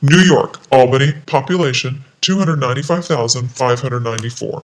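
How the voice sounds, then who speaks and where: A woman's voice: extremely low pitch, fairly quick, pitched below the performer's own voice, poor audio. Woman voicing a big, boastful capybara bully. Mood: smug, show-off. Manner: boastful, blunt, loud. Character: big mean bossy female capybara bully. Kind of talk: children's story read aloud.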